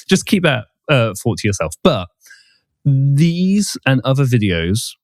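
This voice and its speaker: A man hosting a podcast in a casual chat, his voice hoarse after a poor night's sleep, brisk and upbeat as he reads the intro and steers the talk. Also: medium pitch, no echo, clean studio sound. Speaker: podcast host.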